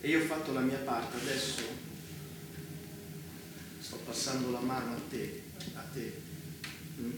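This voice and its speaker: A man's voice: mid-range voice, a little slow, long pauses, close to an everyday voice. Man playing an echoing, distant, fanatical mastermind. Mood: soft, condescending. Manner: theatrical, swinging from taunting softness to shouting. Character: fanatical, domineering male mastermind of a dystopian apocalypse. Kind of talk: intense Italian stage drama acting. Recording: roomy, distant stage recording, faint noise.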